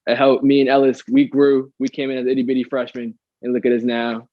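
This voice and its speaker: A young man in his late teens, a student leader, speaks casually to visitors with halting phrasing and fillers. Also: medium pitch, completely flat delivery, dry recording, highs cut off.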